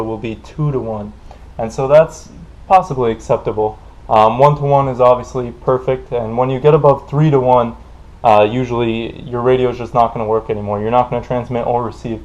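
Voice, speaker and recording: A man's voice: medium pitch, a little mellow. A man giving an informal technical explainer in a plain-spoken, loosely improvised way, as a tutorial presenter. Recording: dull, lo-fi voice recording.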